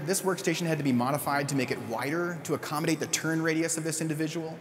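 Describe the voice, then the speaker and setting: A man giving a conversational conference keynote, with fillers and quick self-corrections. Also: medium pitch, in a large hall, slight background noise. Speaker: keynote speaker.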